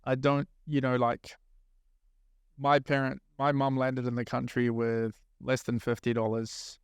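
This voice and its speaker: Gravelly New Zealand man, earnest host. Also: mid-range voice, monotone, unhurried, no room sound, clean studio sound. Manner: earnest, reflective, probing questions. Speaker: podcast host. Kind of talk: casual interview chat.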